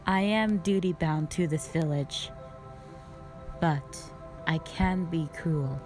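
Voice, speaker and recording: Woman voicing a low, slow, stern dragon warrior. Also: a little mellow, faint music behind. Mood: stern, resolute. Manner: measured, solemn, formal phrasing. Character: stern, calm, duty-bound female dragon warrior guardian, centuries old. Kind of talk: scripted roleplay audio drama monologue.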